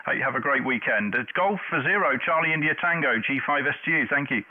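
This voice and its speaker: A man's voice: on the high side, lively intonation, quick pace. Phone-like male radio net controller. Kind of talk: radio contact chat in call-sign jargon. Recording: narrow, phone-like dull audio.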